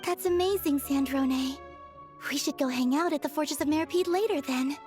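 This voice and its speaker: A woman's voice: sits high, normal speed, music in the background, close to an everyday voice. An actress playing a serene, innocent and bluntly candid young woman in a video game. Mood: friendly.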